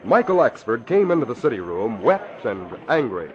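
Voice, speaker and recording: An actor playing the dramatic narrator of a radio mystery, reading scripted storytelling lines. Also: male voice, medium pitch, very animated, normal speed, natural voice. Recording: dull, noisy vintage radio recording.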